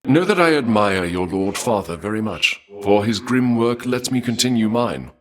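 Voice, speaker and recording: A man acts as a male god of war from a fantasy video game, with a deep, low voice. He is refined and bloodthirsty, choosing formal, old-fashioned phrasing. The delivery is deliberate and theatrical, with menace kept under impeccable politeness. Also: lively intonation, natural voice. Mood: approving, refined.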